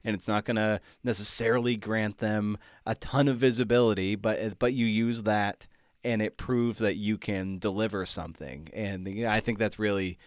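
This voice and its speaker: A man's voice: on the low side, steady tone, moderate pace, no room sound, clean studio sound. A man hosting an interview podcast, talking in a conversational, thoughtful and encouraging way. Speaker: podcast host.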